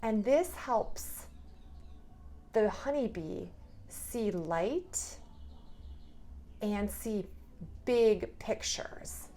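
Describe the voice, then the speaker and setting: Slow-paced woman teaching young children. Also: medium pitch, animated, dark tone. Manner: instructive, asks the listener simple questions. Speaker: preschool teacher. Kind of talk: hands-on lesson for young children. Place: indoors at a table, drawing on paper cards.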